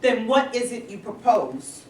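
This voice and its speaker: A woman plays an earnest civil rights activist who presses her points with indignation. Her voice is fairly high and lively, coming from across an echoey room. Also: a little slow, distant mic. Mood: indignant. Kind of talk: staged play reading. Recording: echoey room, voice from afar, faint hiss.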